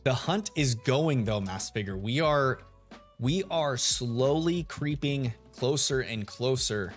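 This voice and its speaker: A man's voice: medium pitch, lively intonation, takes it slowly. Man, streamer, laid-back, chatty, radio-style voice, faint music behind. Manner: laid-back, chatty, greets chat members by name. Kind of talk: live stream chat while shiny hunting. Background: soft lo-fi music.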